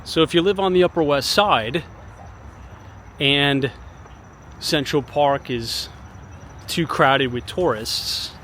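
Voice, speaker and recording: Guy walking around outside doing a tour vlog, kinda hoarse, loud city noise around him. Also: fairly high pitch, slow pace, pauses often. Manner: relaxed, chatty tour guide. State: hoarse, recovering from illness. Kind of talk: casual walking tour narration. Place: outdoors on city streets and in a park. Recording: outdoor street noise behind voice.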